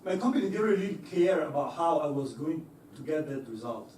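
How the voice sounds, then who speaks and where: Young guy giving a talk, a bit high voice, lots of uhs, echoey hall. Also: expressive, brisk, a little mellow, distant mic, a little hiss or hum. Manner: hesitant, many fillers and restarts, laughs easily. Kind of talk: presentation to an audience. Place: lecture hall.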